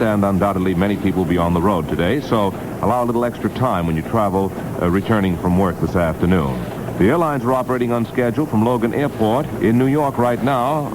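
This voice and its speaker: A man reading a traffic and weather report in a formal, measured 1960s radio manner. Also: medium pitch. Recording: noisy vintage radio, vehicle-like drone.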